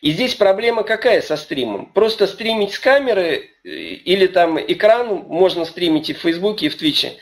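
A man's voice: sits high, animated, moderate pace, hardly any pauses. A man hosting a webinar over a video call, summing up the discussion in a hesitant way, with frequent "da" and restarts. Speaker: webinar host and moderator. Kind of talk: moderating a webinar.

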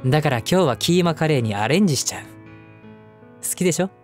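A man's voice: fairly high pitch, animated, quick pace, natural voice. Tender young husband, acted by a man, over music. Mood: gentle, caring. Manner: gentle, affectionate, softly chuckling between phrases. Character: devoted, tender young husband comforting his forgetful wife. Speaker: voice actor. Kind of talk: intimate scripted audio drama spoken to the listener. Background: background music.

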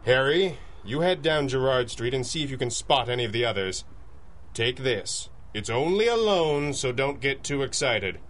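An actor playing a dryly witty, commanding masked crime-fighter boss, deadpan and authoritative, in a radio adventure drama. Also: male voice, mid-range voice, moderate pace, slight background noise, lightly acted. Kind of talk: 1930s-style radio adventure acting.

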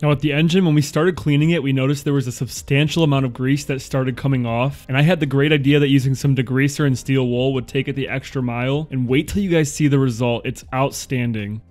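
Fast talking guy doing casual voiceover for a detailing vid, music under it. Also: medium pitch, fairly even intonation, a little mellow. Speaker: car detailing host. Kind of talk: scripted-casual voice-over narration.

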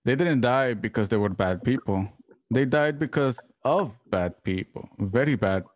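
A gentle, grave father, played by a man in a radio drama. His measured voice sits mid-range and is recorded dry. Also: mid-range voice, no echo, close to an everyday voice. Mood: grave, tender. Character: gentle, grave father using Spanish endearments. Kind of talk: radio drama read-through.

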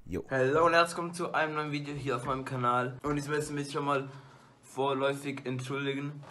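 A teenage boy talks straight to his desk webcam in a small bedroom glowing with colored lights. His voice is bright and mid-pitched, and he rambles chattily, stumbling through fillers and restarts. A faint hiss of room noise sits underneath. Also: little pitch movement, brisk. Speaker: YouTuber. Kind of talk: casual movie-ranking vlog.

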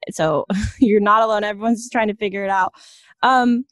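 Woman, mid-range pitch, chatty interviewer, informal, affirming, dry recording. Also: steady tone, moderate pace, no echo. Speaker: podcast host, interviewer. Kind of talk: casual podcast interview.

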